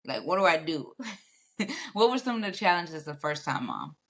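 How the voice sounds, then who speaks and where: Low-voiced woman hosting a chat. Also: very animated, a little slow, a bit bright. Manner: chatty, many fillers and restarts. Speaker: podcast host. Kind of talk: casual panel chat. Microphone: video call.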